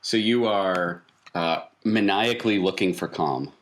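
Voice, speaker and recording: A man's voice: low-pitched, unhurried, fairly bright tone. A man acting as game master, putting questions to players in a tabletop role-playing game.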